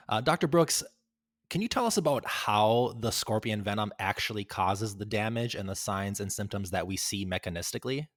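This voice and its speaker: A man's voice: lowish voice, fairly bright tone, no echo, studio quality. A man hosting a conversational medical interview, affirming answers and checking that he has understood. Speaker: podcast host interviewing doctors.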